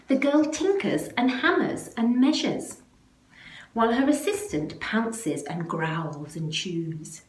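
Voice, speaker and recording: A woman reading a children's picture book aloud in an expressive way, with deliberate, dramatic phrasing that leans on the action words. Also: on the high side. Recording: roomy, echoey, faint background noise.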